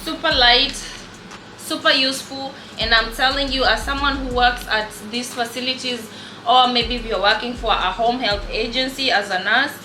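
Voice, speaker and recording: A woman's voice: a little high, fairly even intonation, normal speed, sharp tone, echoey room, slight background noise. A young woman nurse from Kenya with a Kenyan accent, chatting casually and rambling through her work gear with many fillers. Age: young adult. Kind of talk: casual show-and-tell vlog.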